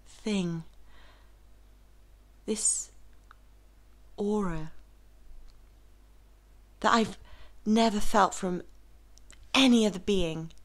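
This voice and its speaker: A woman playing a lovestruck, vulnerable twenty-five-year-old in a halting, breathy, intense monologue. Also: medium pitch. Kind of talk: intimate dramatic monologue.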